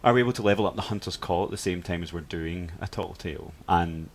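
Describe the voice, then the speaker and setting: Low-voiced male livestream host. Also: fast talker, creaky, a little hiss or hum. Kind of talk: casual live stream presenting.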